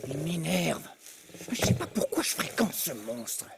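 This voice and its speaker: An actor playing a vain, snobbish young male apple in an animated film, pompous, disdainful and easily flustered. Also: on the high side, measured pace, creaky, slight background noise, full character voice. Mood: smug. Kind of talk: animated film dub acting.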